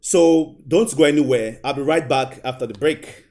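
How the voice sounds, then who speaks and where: A man's voice: medium pitch, moderate pace, very noisy. A man hosting a studio interview show, talking emphatically with repeated phrases and "you know" asides. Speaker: talk show host and interviewer. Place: indoor studio.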